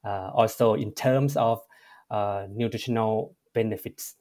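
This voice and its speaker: A man from Thailand with a Thai accent, answering interview questions as a researcher, pausing often with fillers and correcting himself. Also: on the low side, warm tone. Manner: measured, frequent "uh" fillers and self-corrections. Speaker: researcher guest.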